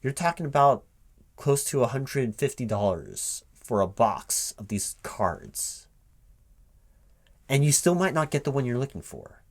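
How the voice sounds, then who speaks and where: A man playing a recruiting manager in a one-sided spoken interview roleplay, halting and puzzled as he repeats things back as questions. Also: mid-range voice, big pitch swings.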